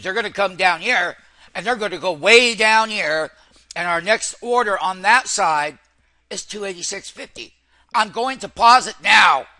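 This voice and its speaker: A man giving excitable, hype-driven live trading commentary as a host, repeating phrases and addressing his viewers like friends. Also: high-pitched. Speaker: stock trader and host.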